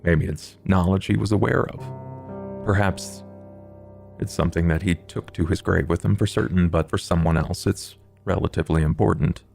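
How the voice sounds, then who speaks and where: A man playing a formal, erudite vampire investigator in a tabletop game, speaking in measured, halting, ornate phrases. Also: deep voice, steady tone, gravelly, a little mellow, faint music behind. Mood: grave, analytical. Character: Duke, formal, erudite male vampire investigator, coolly analytical. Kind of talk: tabletop roleplay in character.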